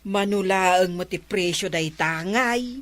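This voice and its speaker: A woman's voice: medium pitch, takes it slowly, no room sound, natural voice. A woman with an Ilocano accent playing an exasperated, complaining village wife in a radio comedy drama. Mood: disapproving.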